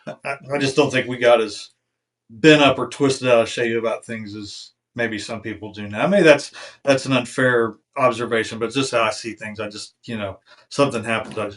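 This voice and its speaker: A man in his fifties speaks as a guest in a casual interview. He is soft-spoken and hesitant, and he fills his speech with ums and uhs. His sentences often trail off quietly. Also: mid-range voice, fairly even intonation, moderate pace. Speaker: guest, author and veteran.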